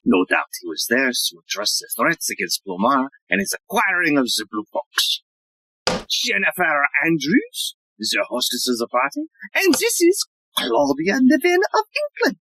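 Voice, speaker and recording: A woman playing a pompous, self-important police inspector in a theatrical audio play, lecturing with dramatic pauses. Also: deep voice, huge pitch swings, pushed and bright, a little hiss or hum, exaggerated voice, rough recording. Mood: briefing.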